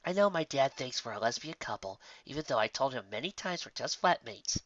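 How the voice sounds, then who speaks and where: A man voicing a wry, reassuring young female unicorn in a soft, breathy manner for a story. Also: mid-range voice, lively intonation, fairly quick, no room sound, lo-fi sound, close to an everyday voice. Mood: wry, insistent.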